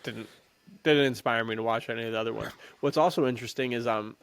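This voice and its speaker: A man chatting casually as a podcast co-host, in slangy, halting talk with frequent chuckles. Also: mid-range voice, steady tone, creaky. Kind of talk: casual movie chat.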